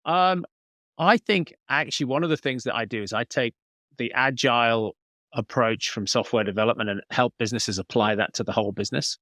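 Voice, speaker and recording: A man's voice: mid-range voice, fairly quick, fairly bright tone, dry recording. Man explaining in a dry-sounding interview. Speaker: business coach, podcast guest. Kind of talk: conversational interview answers, explanatory.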